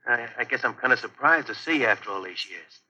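A wasted, weary ex-convict in forties radio drama. He talks haltingly in a husky, mid-pitched voice on a muffled vintage recording. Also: animated, bright, forceful tone. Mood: subdued, hesitant. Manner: halting, hesitant. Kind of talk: 1940s radio crime drama acting.

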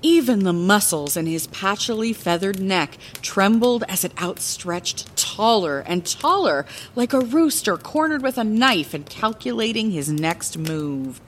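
Lady acting as slow-talking older lighthouse keeper woman telling fantasy tales, expressive and playful, rumbly noise behind. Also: close to an everyday voice. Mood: storytelling. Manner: expressive, conversational, theatrical. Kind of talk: fantasy audio drama narration, bedtime storytelling.

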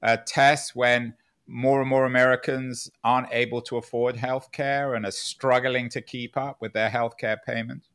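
A man's voice: medium pitch, little pitch movement, normal speed, a bit bright, smooth. Probing male interviewer. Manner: probing, pushes back. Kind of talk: interview questions.

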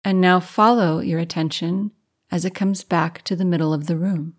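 A woman narrating a guided meditation as host, calm and measured, giving gentle step-by-step instruction. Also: on the low side. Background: music.

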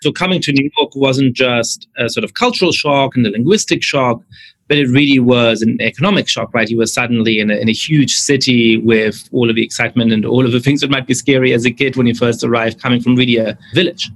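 A man's voice: mid-range voice, fairly even intonation, brisk. Earnest male podcast host. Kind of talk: podcast interview.

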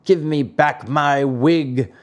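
A man's voice: medium pitch, very animated, slow, exaggerated voice. A man as a surly old storybook carpenter. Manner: surly, defensive. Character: old male carpenter, surly but friendly, weary.

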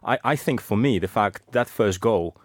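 A man giving football analysis on a podcast, measured and a little hesitant. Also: on the low side, animated, no room sound, studio quality. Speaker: football pundit on Russian football.

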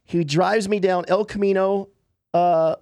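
A man's voice: high voice, fairly even intonation, measured pace, darkish tone, no room sound. A man in his fifties, a guest on a podcast, casually telling stories about his past. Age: 50s. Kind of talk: casual storytelling.

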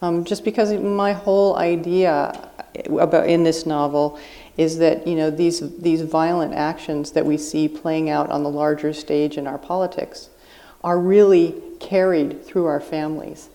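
A woman's voice: low voice, fairly even intonation, measured pace, mellow. A woman in her fifties from South Dakota, a guest author answering earnestly and reflectively at a live onstage interview. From South Dakota, USA. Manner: earnest, reflective, thinking aloud. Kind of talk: interview on stage. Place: stage at a live book festival event.

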